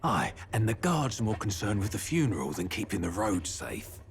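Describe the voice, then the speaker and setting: Gruff ominous traveler. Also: male voice, on the low side, sing-song, moderate pace. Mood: grave. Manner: grave, warning.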